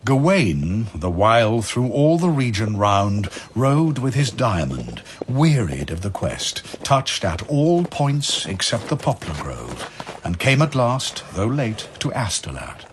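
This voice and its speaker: A man narrating an old verse tale as scripted drama, solemn and deliberate. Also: fairly low pitch, big pitch swings, background noise.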